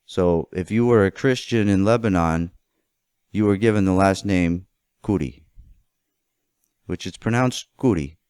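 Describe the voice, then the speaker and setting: Low, smooth-voiced man giving opinionated commentary. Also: unhurried, long pauses, darkish tone, no echo, clean studio sound. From United States. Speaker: political commentator. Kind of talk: opinionated monologue.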